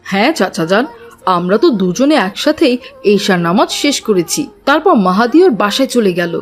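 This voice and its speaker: A woman's voice: mid-range voice, sing-song, moderate pace, natural voice. A woman voicing a polite, studious young boy in a children's cartoon. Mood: polite, concerned. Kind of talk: animated cartoon dialogue.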